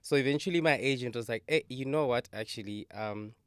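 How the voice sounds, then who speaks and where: A man from Zimbabwe, a talent agent and podcast guest, telling his story in a relaxed way and dropping in isiZulu words. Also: mid-range voice, fairly quick, clear, resonant tone, no room sound, clean studio sound. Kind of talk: casual podcast interview.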